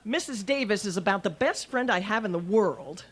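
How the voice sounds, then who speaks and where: A woman acts out a wry, quick-witted schoolteacher in an old-time radio comedy. Her voice sits at an ordinary pitch, with dry and arch wisecracks. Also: lively intonation, husky. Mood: wry, pleading. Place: live stage performance at a radio history event.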